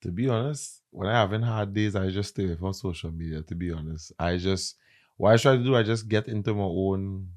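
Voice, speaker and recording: A man with a Trinidadian accent, a content creator and comedian, chatting in an interview and telling stories with lively emphasis. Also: sits low, a little fast. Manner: animated, emphatic storyteller. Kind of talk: casual interview chat.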